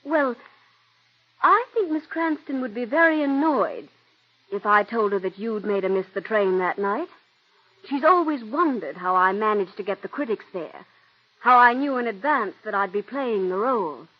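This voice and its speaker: A young, high-voiced ingenue with a husky edge who pleads and then threatens, in a dull vintage radio drama. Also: female voice, animated, moderate pace. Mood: coldly threatening. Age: young adult. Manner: earnest and pleading, turning coolly threatening. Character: young ambitious scheming stage actress, woman. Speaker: aspiring actress. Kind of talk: 1940s radio acting. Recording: dull old radio broadcast.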